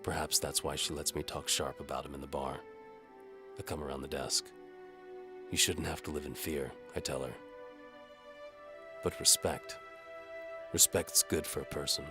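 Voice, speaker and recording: A man playing a sardonic, world-weary medieval bow for hire, narrating in a wry deadpan as scripted audio drama. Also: a bass voice, normal speed, long pauses, over music. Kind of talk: first-person scripted narration, hard-boiled audio drama.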